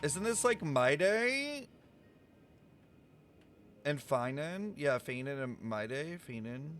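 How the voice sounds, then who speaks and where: A man's voice: fairly high pitch, very animated, takes it slowly, fairly bright tone. A man giving casual, musing reaction commentary as a game streamer, thinking aloud.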